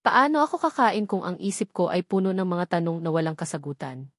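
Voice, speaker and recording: A woman's voice: lowish voice, very animated, fairly quick, a bit bright, dry recording, clean studio sound. A woman reading a scripted first-person dramatic story as narrator.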